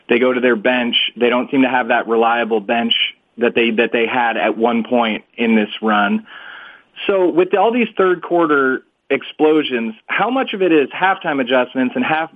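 Male press reporter phoning in a casual, detailed question, average pitch, tinny telephone sound. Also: no echo. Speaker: newspaper reporter. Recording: dry conference phone line.

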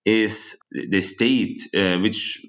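A young man, raised in Switzerland and South Tyrol and speaking English as a second language, with a fairly low voice. He talks haltingly, often pausing with fillers while he looks for words. He speaks as an estate manager being interviewed. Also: steady tone, fairly bright tone. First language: not English. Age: young adult. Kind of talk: interview guest.

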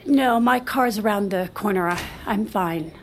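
A woman's voice: medium pitch, measured pace, slight background noise, lightly acted. An actress playing a guarded, bitter woman in a naturalistic audio drama, curt, profane and confrontational. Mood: tense, dismissive. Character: guarded, bitter, sharp-tongued woman with secrets.

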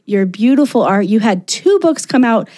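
A woman's voice: fairly high pitch, animated, moderate pace, warm tone. A woman co-hosting a podcast in casual chat, bubbly, enthusiastic and jokey. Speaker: podcast co-host.

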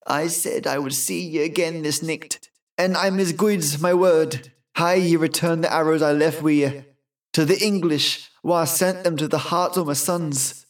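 A man's voice: on the high side, steady tone. Man putting on an eerie old Scottish witch woman. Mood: ominous, insistent. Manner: dramatic, drawn-out, archaic dialect speech.

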